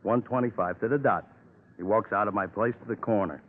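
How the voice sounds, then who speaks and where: Actor as impatient, fussy radio-drama grocer. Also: male voice, fairly low pitch, brisk, close to an everyday voice. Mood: insistent, suspicious. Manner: clipped, repetitive, protesting. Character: impatient neighborhood grocer, plain-spoken and fussily exact. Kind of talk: 1950s radio crime drama acting. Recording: muffled, noisy old radio recording.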